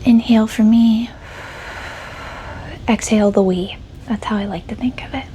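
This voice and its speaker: A woman leading a guided meditation in a soft-spoken ASMR style, gentle and unhurried, with a breathy voice that often drops close to a whisper. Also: fairly high pitch, fairly even intonation, muffled recording, a little hiss or hum. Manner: gentle, unhurried, soothing instruction.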